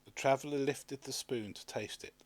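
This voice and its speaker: A man reading a children's story aloud as an expressive scripted narration. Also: mid-range voice, normal speed. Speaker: storybook reader.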